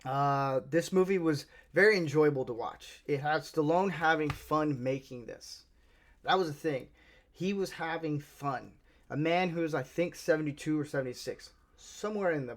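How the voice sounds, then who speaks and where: A man with a Southern American accent, giving a casual, rambling movie review as a channel host, often restarting his sentences. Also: fairly high pitch. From Southern United States. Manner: chatty, rambling, many restarts.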